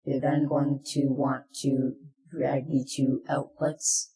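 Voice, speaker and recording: A presenter narrating a software tutorial in plain, step-by-step instructions, recorded in an echoey room. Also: medium pitch, flat delivery, takes it slowly.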